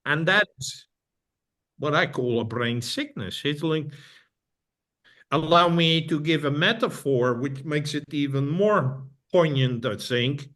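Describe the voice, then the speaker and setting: A man's voice: fairly high pitch, lively intonation, slow, fairly bright tone. Dutch-accented middle-aged man, thoughtful. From Netherlands. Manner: thoughtful, repeats phrases, frequent restarts. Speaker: guest. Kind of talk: casual interview talk.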